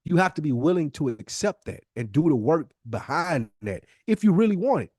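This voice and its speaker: A man's voice: a little high, very animated, normal speed, a little mellow, no echo. Emphatic American man, dry close sound. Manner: emphatic, repeats phrases for emphasis. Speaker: podcast co-host. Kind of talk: casual motivational podcast talk.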